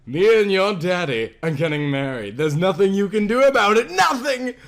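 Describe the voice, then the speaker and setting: A man's voice: high voice, sing-song, normal speed, a bit bright, exaggerated voice. Man acting a cold, gloating villainess. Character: cold, cruel, sadistic young woman villain. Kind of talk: dramatic amateur story reading.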